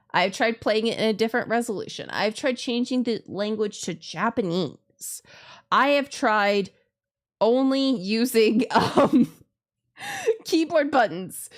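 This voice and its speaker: A woman streamer chatting casually through a game, expressive and giggly, often swearing and interrupting herself. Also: fairly high pitch. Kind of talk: live visual novel playthrough commentary, casual chat.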